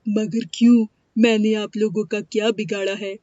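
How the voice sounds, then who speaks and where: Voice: mid-range voice, level tone, normal speed, dark tone, dry recording, close to an everyday voice. Performer plays young male cobbler in a moral-story cartoon: meek, deferential, plaintive, close dry lo-fi sound. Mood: forlorn. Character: poor, humble, pleading orphan cobbler boy. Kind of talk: animated moral-story dialogue. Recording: dry, dull lo-fi studio sound.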